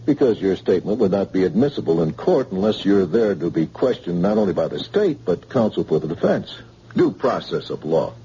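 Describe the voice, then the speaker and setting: An actor playing a police sergeant who questions a witness slowly and patiently, with hesitant fillers, in an old radio drama style. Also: male voice, low voice, big pitch swings, warm tone, slight background noise. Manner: slow, coaxing, hesitant with fillers. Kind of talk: 1940s radio acting. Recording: dull old radio broadcast.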